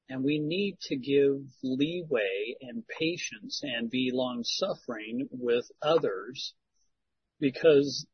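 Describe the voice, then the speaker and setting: A man leading a church Bible discussion in a slow, earnest, pastoral way. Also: medium pitch, slightly rough, dull audio. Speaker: Bible study leader.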